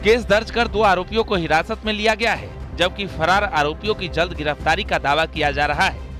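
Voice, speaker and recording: A man with a high, bright voice narrates a news report, measured and grave. Faint music sits beneath. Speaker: field reporter. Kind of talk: scripted news voice-over.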